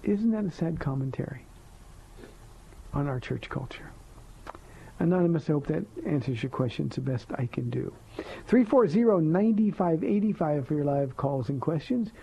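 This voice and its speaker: Soft-spoken gravelly pastor on radio. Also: male voice, on the high side, animated, slight background noise. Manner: gentle, earnest and reflective, with slow emphatic phrases. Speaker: radio pastor and call-in host. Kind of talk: answering listener questions on live radio. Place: radio studio. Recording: dull lo-fi radio broadcast.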